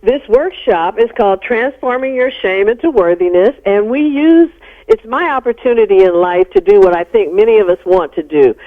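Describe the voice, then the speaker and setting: A woman's voice: mid-range voice, expressive, normal speed. American woman on a thin, band-limited line. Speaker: interview guest, psychologist and workshop leader. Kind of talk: explanatory radio interview. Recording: narrow-band phone-like radio sound.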